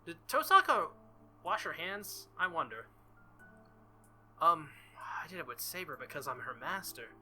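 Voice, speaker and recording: A man's voice: a little high, big pitch swings, measured pace, pauses often, bright, forceful tone, a little hiss or hum, full character voice. A man voicing an earnest, flustered teenage visual novel hero in a hesitant, stammering, insistent way. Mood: flustered, self-reassuring.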